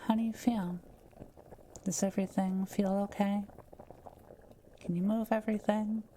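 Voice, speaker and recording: A woman playing a kind, soft-spoken witch who loves solitude, speaking gently and reassuringly to the listener in an intimate roleplay audio drama. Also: medium pitch, fairly even intonation, unhurried, slight background noise, natural voice. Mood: caring, attentive. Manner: gentle, caring, softly reassuring.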